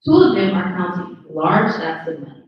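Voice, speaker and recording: A woman's voice: on the low side, normal speed, a little hiss or hum. A woman reading scripted stage directions as narrator at a live table read. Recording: very echoey room, distant dull mic.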